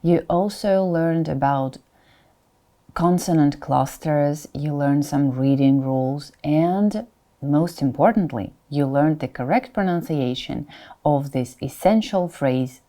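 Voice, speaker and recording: A woman's voice: low-pitched, slow, warm tone. A woman language teacher giving a pronunciation lesson, demonstrating example words and repeating them for learners.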